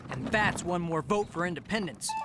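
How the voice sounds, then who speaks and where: Actor doing idealistic teen boy apprentice, cartoon, lively up and down voice, big music behind. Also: medium pitch, normal speed, natural voice. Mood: wry. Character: eager, idealistic teenage boy printer's apprentice.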